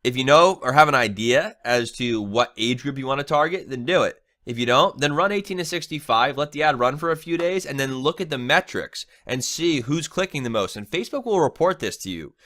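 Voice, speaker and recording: A man's voice: medium pitch, expressive, a little fast, hardly any pauses, a bit bright. A young man with a cold, sounding a little nasal, giving a casual, chatty screen-share tutorial and often checking in with "right?". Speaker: online marketing trainer.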